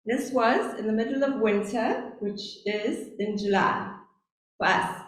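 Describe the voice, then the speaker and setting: South African woman preaching in an echoey church. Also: medium pitch. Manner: earnest, storytelling, speaks slowly on purpose. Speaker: lay preacher. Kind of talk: sermon. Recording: very echoey church, faint hum.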